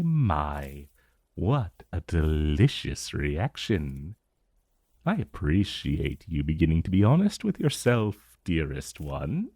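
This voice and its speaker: A man's voice: low-pitched, dark tone, no room sound, studio quality, heavily acted. A man voicing an ancient dragon familiar in a romantic fantasy ASMR roleplay, possessive and silkily tender with a vague menace, murmuring formally and seductively. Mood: tender, confiding. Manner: slow, formal, seductive murmuring with lingering pauses.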